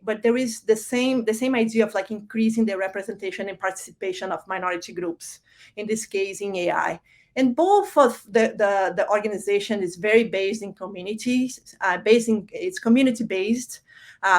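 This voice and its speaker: A woman's voice: medium pitch, a little fast. A woman from Brazil with a Brazilian Portuguese accent, giving a conversational conference keynote with frequent fillers and restarts. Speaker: keynote speaker.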